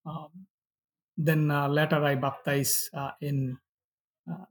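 A middle-aged man from Bangladesh with a Bangladeshi accent and Bengali as first language, giving personal testimony haltingly in non-fluent English. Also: on the high side, level tone, slightly rough, low-quality recording. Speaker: pastor, interview guest.

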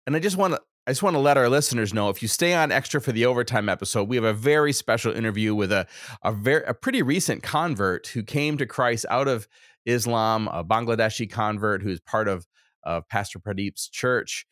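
A man's voice: medium pitch, brisk, fairly bright tone, no room sound, studio quality. A man hosting a religious interview show in a conversational manner. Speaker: host.

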